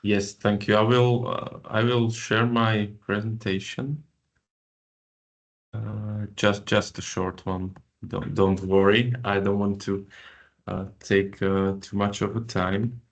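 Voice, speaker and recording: Man, from Slovakia, very low flat voice, slow, gravelly, hesitant video-call presenter. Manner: hesitant, many fillers and restarts. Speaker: project coordinator. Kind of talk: online info session presentation.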